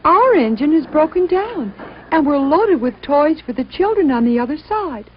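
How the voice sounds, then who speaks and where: A woman's voice: sits high, big pitch swings, moderate pace, lo-fi sound, slight background noise, voice pushed up. A woman voicing a little female toy train in a storybook, pleading earnestly and hopefully for help.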